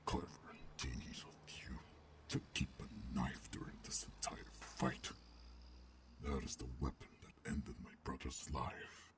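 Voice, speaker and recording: Very deep, growling alpha werewolf. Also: male voice, very noisy, exaggerated voice. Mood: threatening. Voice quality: gruff, growling, gravelly. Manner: formal, deliberate, menacing, half-whispered. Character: menacing male alpha werewolf, articulate, predatory, coldly controlled. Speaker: voice actor. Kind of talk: ASMR roleplay monologue.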